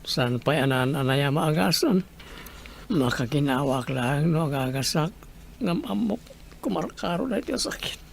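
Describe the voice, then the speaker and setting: A man acting a sick, struggling, downcast smoker in an Ilocano audio drama, with an Ilocano accent and a creaky, gravelly voice. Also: a little high, expressive, unhurried. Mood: resigned. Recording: faint background noise.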